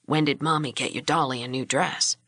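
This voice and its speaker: A woman voicing a gentle, evasive young husband and father in a storybook, in a subdued manner. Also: very deep voice, normal speed, breathy edge, dry recording, clean studio sound, voice pushed down, exaggerated voice.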